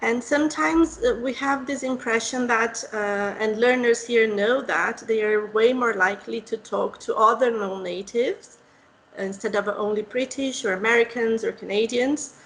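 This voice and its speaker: A Portuguese-speaking woman from Brazil hosts the interview in English with a clear Brazilian accent. Her voice sits a bit high, and she talks through her thoughts casually with small fillers. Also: moderate pace, rough recording. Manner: conversational, reflective, with fillers. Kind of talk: podcast interview.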